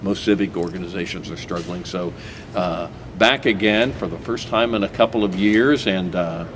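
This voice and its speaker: A man with a low, deep voice hosts a casual community interview. He rambles a bit, stopping and restarting with plenty of "uh"s. The recording has a clear hiss of noise behind him. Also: moderate pace. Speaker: host. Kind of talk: casual local-TV interview chat.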